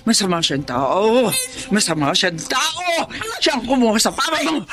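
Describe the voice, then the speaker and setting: A woman's voice: on the high side, expressive, crowd noise. An ailing, grief-stricken mother. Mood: agitated. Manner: slow, then agitated and repetitive. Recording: noisy background ambience.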